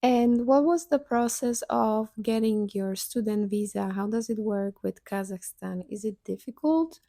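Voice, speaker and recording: A woman's voice: mid-range voice, unhurried, creaky, dark tone, no echo, studio quality. A woman hosting a podcast, asking short, friendly questions. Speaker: podcast host. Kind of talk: interview questions.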